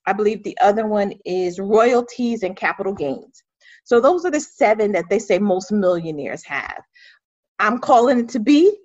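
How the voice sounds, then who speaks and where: A woman's voice: mid-range voice, dry recording. A woman hosts an interview podcast, praising her guest with emphatic, faith-filled energy. The audio is dull and lo-fi. The room sound is dry and close. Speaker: podcast host.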